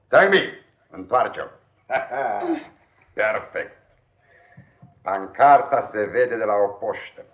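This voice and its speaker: Casting: cruel, gloating headmaster played by a male actor, 1950s radio play, very animated delivery, taunting and menacing. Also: mid-range voice, lo-fi sound, natural voice. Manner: mocking, barking orders, taunting with repeated questions. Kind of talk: 1950s Romanian radio theatre acting.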